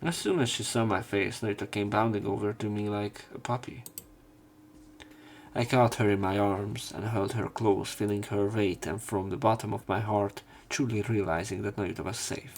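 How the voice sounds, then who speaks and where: A man narrating a visual novel playthrough, reading story text and dialogue aloud with some halting pauses. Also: lowish voice, steady tone. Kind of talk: scripted narration and character line reading. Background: game music, keyboard typing.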